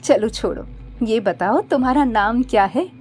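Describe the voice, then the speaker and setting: A woman voicing a kind, generous young male village clerk in a cartoon story, gentle and helpful. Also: a little high, animated, normal speed, close to an everyday voice. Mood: surprised, friendly. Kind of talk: Hindi cartoon story acting.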